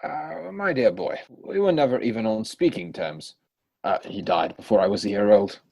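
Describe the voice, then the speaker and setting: A man playing a flippant, easygoing young gentleman with a breezy manner in a stage comedy reading. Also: lowish voice, animated, moderate pace, gravelly, husky, exaggerated voice, poor audio. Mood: offhand. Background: musical score.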